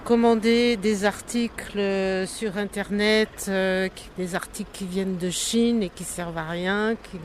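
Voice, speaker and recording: A person answering a street interview in a halting, hesitant way. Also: medium pitch, steady tone, slight background noise.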